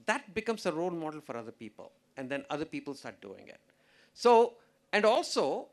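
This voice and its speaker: A man answering an audience question on a conference panel, explaining in an emphatic, animated way. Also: a little high. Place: conference stage.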